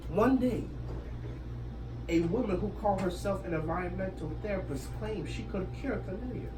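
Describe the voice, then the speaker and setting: A woman storyteller reading a picture book aloud to children in an expressive style. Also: medium pitch, normal speed. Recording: roomy, noisy, distant microphone.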